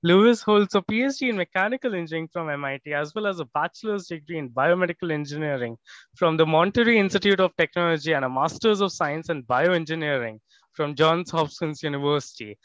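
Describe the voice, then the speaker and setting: A man's voice: on the high side, fairly quick, a bit bright, no echo. A man hosting an interview podcast, speaking in formal, effusive phrasing from scripted introductions and questions. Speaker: podcast host. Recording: dull, muffled lo-fi audio.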